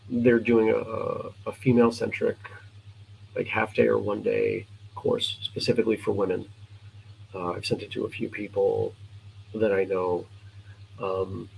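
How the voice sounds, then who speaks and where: Hoarse, gravelly man hosting a morning show. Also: lowish voice, darkish tone, slight background noise, poor audio. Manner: earnest, encouraging, halting with fillers. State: hoarse, scratchy voice; sleep-deprived. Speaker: host of a martial arts morning show. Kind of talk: casual live-stream chat.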